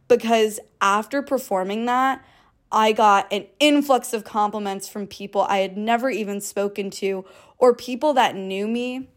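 A young woman in her twenties with a low alto voice, hosting a casual solo podcast, chatty and sprinkling in "like" and swear words. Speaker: podcast host.

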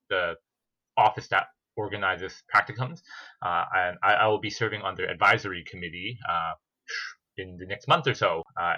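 A young man from Hong Kong, a pharmacy manager talking as a podcast guest, earnest and halting, with many filler pauses. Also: low voice, pushed and bright, no echo. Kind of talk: casual podcast conversation. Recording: slightly dull lo-fi audio.

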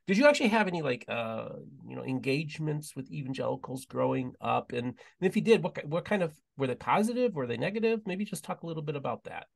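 A man hosting a podcast interview, talking quickly and casually, with many stammers and restarts. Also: on the high side, vocal fry. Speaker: podcast host.

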